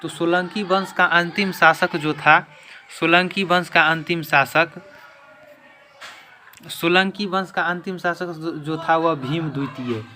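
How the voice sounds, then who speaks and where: A man's voice: fairly high pitch, takes it slowly, bright, forceful tone. A man giving a quiz lesson as an exam-prep teacher, restating key points with emphasis. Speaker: exam-prep teacher and video channel host. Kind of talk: quiz lesson, explaining question and answer. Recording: clear background noise.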